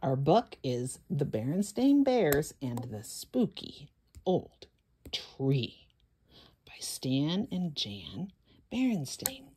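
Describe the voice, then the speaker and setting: A woman's voice: low voice, sing-song, takes it slowly, warm tone, slight background noise. A woman hosting a children's story time, reading aloud in a dramatic, suspenseful way with whispers and gasps.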